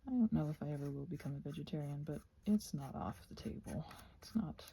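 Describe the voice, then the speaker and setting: A woman with a soft, breathy voice, often close to a whisper, musing aloud in a playful, whimsical ASMR ramble as she crafts. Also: low-pitched, fast.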